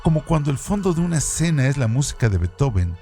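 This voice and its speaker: A man presenting a radio program, reading literary trivia from a script in a measured, even way. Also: mid-range voice. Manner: measured, reads evenly from a script. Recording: music bed under voice. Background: background music.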